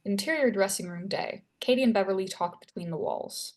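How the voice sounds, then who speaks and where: A woman's voice: medium pitch, fairly quick. A woman reading stage directions as the narrator of a screenplay table read.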